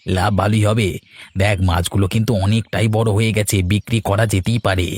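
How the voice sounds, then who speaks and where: A man's voice: fairly low pitch, steady tone, brisk, darkish tone, dry recording, lightly acted. A man voicing an easygoing, hardworking village brother in a cartoon. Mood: practical. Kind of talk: cartoon character dialogue.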